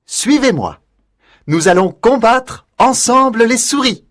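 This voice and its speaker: A woman voicing a brave young prince, an enchanted nutcracker, in a storybook reading, with a low, slow, solemn delivery. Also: lively intonation, no echo, studio quality.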